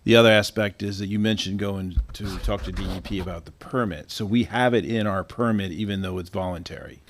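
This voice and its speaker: An American man, a council committee member, putting questions at a public meeting in a measured way, rephrasing as he goes. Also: fairly low pitch, fairly even intonation, a little hiss or hum.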